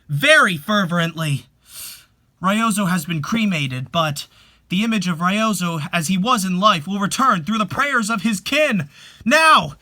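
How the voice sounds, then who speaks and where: A man's voice: high voice, sharp tone, voice pushed up, exaggerated voice. A man playing a solemn, commanding family elder who leads a ceremony, declaiming fervently in a dramatic reading. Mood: intense.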